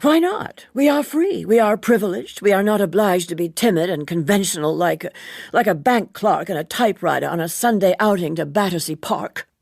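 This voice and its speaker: Woman acting an imperious, passionate society lady, husky-edged. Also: medium pitch, animated, normal speed, a little mellow, no room sound, studio quality. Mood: impassioned. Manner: emphatic, haughty, declamatory. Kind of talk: audiobook character dialogue.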